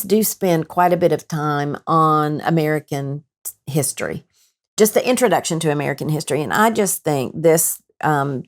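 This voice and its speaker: Lower-voiced woman discussing books appreciatively. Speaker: show panelist. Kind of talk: casual book discussion.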